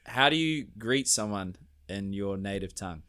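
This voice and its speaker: A man's voice: fairly low pitch, animated, moderate pace, pushed and bright, clear, resonant tone, no room sound, clean studio sound. A man hosting a podcast, chatting casually, rambling loosely and laughing often. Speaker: podcast host.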